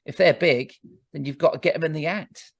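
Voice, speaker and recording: A man's voice: fairly high pitch, brisk, creaky, slightly rough, dry recording. Upbeat uk guy hosting an interview, laughs a lot, dry room. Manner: enthusiastic, laughing, prompting questions. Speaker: interview host. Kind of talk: casual interview chat.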